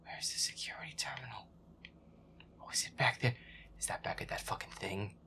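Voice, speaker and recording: A man muttering irritably and swearing to himself as he gives commentary on a horror game, his voice breathy and often half-whispered. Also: medium pitch, brisk, sharp tone. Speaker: gamer playing in character.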